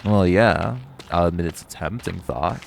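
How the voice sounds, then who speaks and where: Man playing a gravelly, low-voiced smug vampire. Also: a little slow, background noise. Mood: menacing, flirty. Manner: smug, sardonic, flirty, profane banter. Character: cocky, teasing, hungry male vampire carrying his hunter rival. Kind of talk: audio roleplay acting, addressed to the listener.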